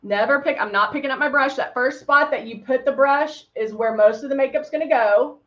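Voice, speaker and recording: A middle-aged woman with a country Texan accent talks viewers through her makeup in a chatty, upbeat way, tossing in playful little sound effects. Her voice sits a bit high. The sound is dull and lo-fi, as on a livestream. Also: fairly quick. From Texas. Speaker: makeup brand host.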